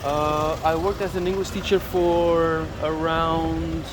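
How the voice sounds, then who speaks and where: A man in his twenties from Portugal, answering interview questions in a measured, hesitant way. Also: on the high side, little pitch movement. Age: 20s. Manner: measured, hesitant, with many ums. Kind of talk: street interview. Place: city street outdoors with traffic. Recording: loud street noise.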